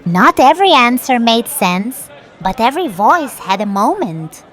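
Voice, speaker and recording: A woman performs a brash street-reporter puppet with very animated pitch. The delivery is slow and declamatory, like a mock vox-pop, with faint music underneath. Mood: brash, showy. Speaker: street interviewer.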